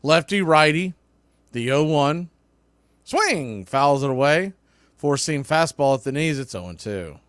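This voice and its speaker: A man's voice: on the high side, animated, unhurried, fairly bright tone, smooth, dry recording. A man calling a baseball game play by play in clipped phrases that build on big plays. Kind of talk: live play-by-play commentary.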